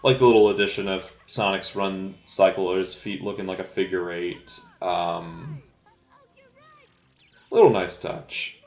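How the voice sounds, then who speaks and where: A man giving casual, unscripted movie commentary as an amateur, speaking hesitantly with halting, trailing pauses. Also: sits low, fairly even intonation, fairly bright tone, roomy sound, soft background music.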